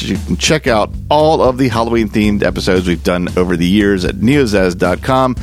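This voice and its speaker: A man hosting a podcast, delivering a conversational sign-off. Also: on the low side. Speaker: podcast host. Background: music.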